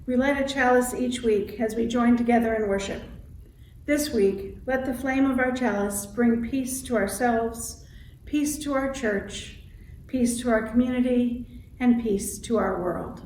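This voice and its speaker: A woman reading a scripted church welcome and liturgy in a measured, solemn way as worship leader. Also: on the high side, a little mellow. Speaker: congregation president and guest worship leader. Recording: roomy, distant mic with faint noise.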